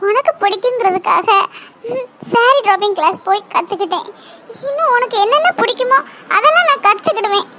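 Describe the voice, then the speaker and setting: A woman's voice: very high-pitched, a little fast, muffled recording, noisy background. A woman playing a young woman who pleads with her drunk lover, tearful and half-laughing. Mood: tender.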